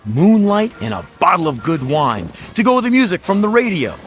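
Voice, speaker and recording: A man's voice: sits high, big pitch swings, a little fast, faint music behind. An actor playing a slippery, folksy used-car salesman with an American accent, pushing glib, scornful patter in a radio drama. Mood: pushy coaxing. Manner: glib, theatrical hard-sell patter.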